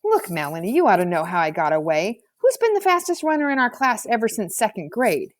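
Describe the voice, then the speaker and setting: A woman's voice: mid-range voice, big pitch swings, brisk, no room sound, natural voice. A brash schoolboy from a storybook, voiced by a woman, full of slang and bluster. He boasts and justifies himself at every turn. The sound is close and dry. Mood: boastful. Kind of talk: storybook character voice.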